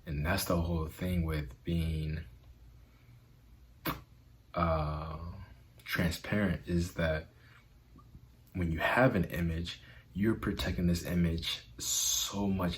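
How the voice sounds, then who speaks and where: A man's voice: low voice, fairly even intonation, takes it slowly, long pauses, slight background noise. A man vlogging in an earnest, conversational way about self-acceptance, with a stutter. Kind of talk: motivational vlog talk.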